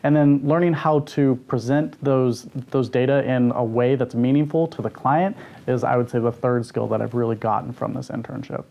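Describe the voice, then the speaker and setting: A man's voice: medium pitch, steady tone, moderate pace, creaky, mellow, muffled recording. A young man from Northern California talks through his internship in an interview. He sounds upbeat and speaks in a plain middle register, now and then lightly joking about himself. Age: young adult. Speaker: graduate student intern. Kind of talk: interview testimonial.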